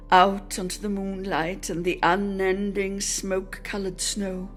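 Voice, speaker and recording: A woman giving a dramatic literary reading, measured and expressive, lingering on her phrases. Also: medium pitch. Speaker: dramatic reader.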